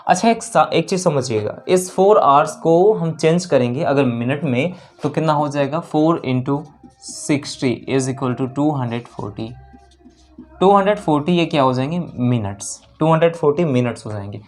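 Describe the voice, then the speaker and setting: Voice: medium pitch, lively intonation, moderate pace, a little mellow, soft background music. A maths teacher working through exam problems step by step, asking questions and answering them, repeating key figures and mixing in English terms.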